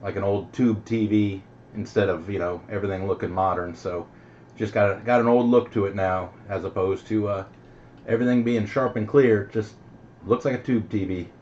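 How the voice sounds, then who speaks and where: Deep-voiced man on noisy CB. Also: steady tone, normal speed, darkish tone. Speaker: CB radio net host. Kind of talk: casual CB radio chat. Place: home radio base station. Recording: dull, noisy CB radio audio.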